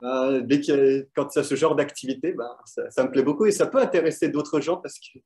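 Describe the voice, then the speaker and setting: A man from Belgium, a quiz winner, answering interview questions in French with many fillers and pauses. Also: medium pitch, a little mellow. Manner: hesitant, many fillers, thoughtful. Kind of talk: casual interview answers over an online call.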